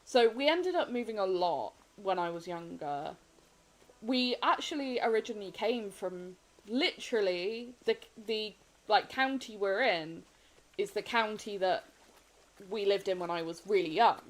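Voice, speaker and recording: A woman streaming a game, sniffly, chatting candidly and rambling with frequent soft laughs. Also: medium pitch, lively intonation, a little slow, rough recording. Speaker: gaming streamer. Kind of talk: casual chat while gaming.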